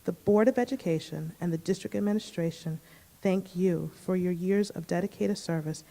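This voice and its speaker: A woman's voice: fairly low pitch, normal speed, vocal fry, warm tone. A woman reading out a formal proclamation as a school board official at a public meeting, recorded with long reverb.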